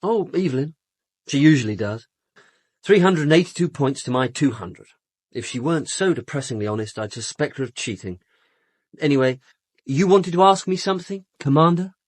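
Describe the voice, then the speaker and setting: An actor playing a flippant, louche upper-class British suspect in a radio drama, speaking in a glib, theatrically casual manner. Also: male voice, fairly high pitch, animated, normal speed, no room sound. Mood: glib, defensive.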